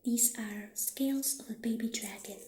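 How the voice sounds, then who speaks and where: A woman speaking softly and breathily, often near a whisper, gently playing a friendly witch shopkeeper in an ASMR roleplay. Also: on the high side. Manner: gentle, unhurried, halting. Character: friendly witch shopkeeper showing potion ingredients. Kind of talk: soft-spoken ASMR roleplay. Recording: roomy echo, faint background noise.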